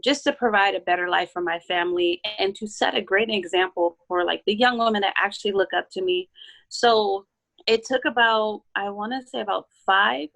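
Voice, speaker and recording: Woman guest from Baltimore, mid pitch, steady and self-assured. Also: creaky, poor audio. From Baltimore, Maryland. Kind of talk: casual interview talk.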